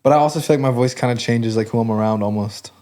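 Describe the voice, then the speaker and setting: A man's voice: medium pitch, fast talker, dark tone. A young man talks easily on a podcast, his voice sitting in a middle register. He rambles in a loose, laid-back way, leaning on filler words as he chats. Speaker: podcast co-host. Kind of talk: casual chat.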